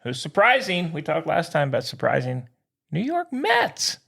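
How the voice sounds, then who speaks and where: A man with a lively, swooping delivery and a gravelly edge to his voice. He talks as a podcast co-host in casual sports banter. His manner is blunt and slangy, with a teasing streak. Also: a little high, moderate pace.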